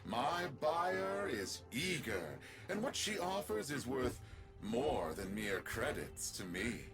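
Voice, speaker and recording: A man's voice: medium pitch, very animated, slow, over music. A male actor playing a greedy, gloating merchant villain in a video game, theatrical and full of mock-sweet menace. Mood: mock concern, enticing.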